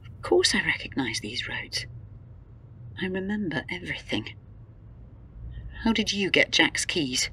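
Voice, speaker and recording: An actress playing a distressed, suspicious wife in a radio drama, agitated and accusing, often dropping to a hushed mutter. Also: female voice, lowish voice, big pitch swings, measured pace, long pauses, a little hiss or hum, exaggerated voice. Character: distressed, suspicious wife convinced her husband is an impostor.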